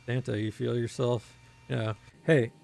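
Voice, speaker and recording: A man's voice: medium pitch, little pitch movement, gravelly, a little mellow. A man streaming a flight sim, explaining each step in casual commentary while thinking aloud and reading out numbers carefully. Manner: reads out numbers slowly and carefully.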